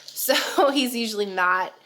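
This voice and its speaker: A woman's voice: a little high, animated, unhurried, a bit bright, a little hiss or hum. A young woman vlogger, chatting casually and rambling, a bit nasal and congested, laughing often. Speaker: vlogger, stay-at-home mom. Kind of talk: casual chat to camera.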